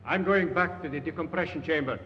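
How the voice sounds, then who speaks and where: A man doing a plain-spoken, gruff tunnel worker from an old radio play. The recording is dull, with clear noise and a long reverb. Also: on the high side, fairly quick, lightly acted. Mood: matter-of-fact. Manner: matter-of-fact, plain-spoken. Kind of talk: 1940s radio acting.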